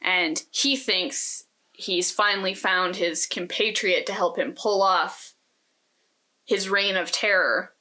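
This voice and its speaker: A woman with a medium-pitched, bright and forward voice talks as a podcast co-host. Her delivery is conversational and casual, like an informal book chat. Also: slow.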